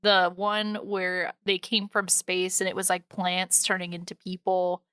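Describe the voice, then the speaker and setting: A woman's voice: lowish voice, completely flat delivery, measured pace, fairly bright tone, clean tone, no echo, clean studio sound. A woman co-hosting a podcast in casual chat, telling things informally and interrupting herself as she goes. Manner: informal, self-interrupting storyteller. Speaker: podcast co-host. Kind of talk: casual chatty podcast talk.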